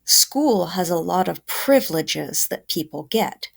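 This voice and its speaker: A woman's voice: on the low side, animated, a little slow, creaky. A woman teacher giving children a reading lesson, explaining simply and instructively.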